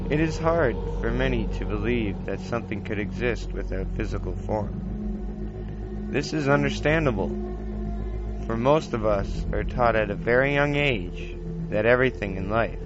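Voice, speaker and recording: A man hosting a paranormal-style podcast, reading scripted narration in an old time radio manner with measured, dramatic, deliberate phrasing. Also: medium pitch. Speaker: podcast host. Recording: dull lo-fi basic equipment, music underneath. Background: music bed.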